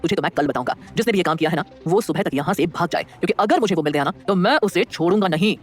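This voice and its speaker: A narrator voicing a menacing, intoxicated rich young man who speaks low and slurred. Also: animated, quick pace, faint music behind, lightly acted. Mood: threatening.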